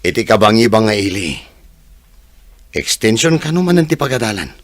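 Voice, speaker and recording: A man's voice: fairly low pitch, very animated, moderate pace, dry recording. A man with an Ilocano accent playing a matter-of-fact village construction worker in a radio drama.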